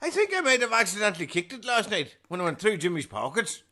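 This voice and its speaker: A man voicing an excitable, squeaky little character in a comic audio drama. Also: quick pace, heavily acted. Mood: sheepish.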